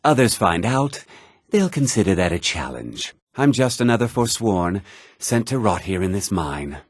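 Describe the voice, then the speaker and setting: An actor playing a weary, brooding imprisoned murderer in a fantasy game, with a husky voice. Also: male voice, on the low side, sing-song, normal speed, no room sound.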